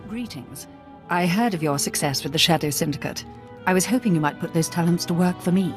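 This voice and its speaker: Female actor, stern imperial spy officer, very low voice, grave, clipped, composed, game dialogue. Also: a little fast, soft background music, natural voice. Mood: grave briefing. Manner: clipped, grave briefing delivery. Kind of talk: video game character acting.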